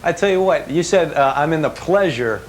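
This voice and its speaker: A man with a higher-set voice reports casually from inside a house, joking and firing eager questions. The sound is a slightly noisy 1990s TV broadcast. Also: moderate pace. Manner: animated, jokey, chatty, eager questioning. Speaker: field reporter. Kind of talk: live feature interview, casual banter. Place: collector's house, indoors.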